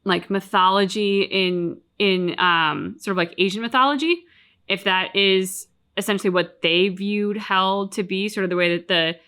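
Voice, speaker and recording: A woman's voice: mid-range voice, moderate pace, sharp tone, clean tone. A woman co-hosting a reaction channel, casually discussing a video game and acting out bits of what characters say.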